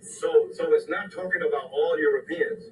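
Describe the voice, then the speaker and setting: Spanish-native guy from puerto rico, high swooping voice, lecturing hard, far off in a store. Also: wildly swooping pitch, normal speed. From Puerto Rico and Saint Thomas. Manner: emphatic, lecturing, swooping pitch. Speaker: store worker talking to a customer. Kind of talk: casual chat. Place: supermarket aisle.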